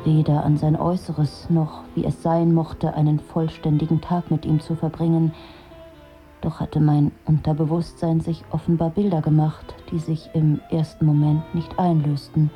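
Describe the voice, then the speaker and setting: A woman's voice: low-pitched, little pitch movement, moderate pace, mellow, soft background music, natural voice. An actress playing a disillusioned, sardonic middle-aged woman, delivering a dry, brooding literary monologue in a radio drama. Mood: brooding, reflective.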